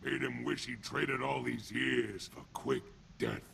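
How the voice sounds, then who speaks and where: A sneering alien thug from a video game, with a heavily growling, rasping voice and a sharp, hard edge. He talks in blunt, crude street slang like a hardened enforcer fresh out of prison. Faint noise sits behind him. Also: medium pitch, very animated, slow pace, exaggerated voice. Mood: smug, gruff. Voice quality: gravelly, rough. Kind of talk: video game character acting.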